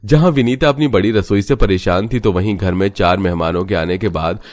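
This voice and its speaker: A man's voice: deep voice, brisk, a little mellow. A man reading scripted narration for a cartoon family story as its narrator.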